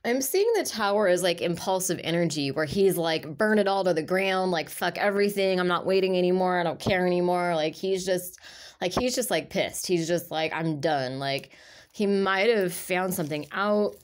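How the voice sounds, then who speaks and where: A woman's voice: fairly low pitch, normal speed. Stuffy-nosed woman rambling through a tarot reading. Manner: rambling, hesitant, many fillers and restarts. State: stuffy nose from allergies. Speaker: tarot reader. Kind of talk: casual tarot reading monologue. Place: indoors at a table of tarot cards.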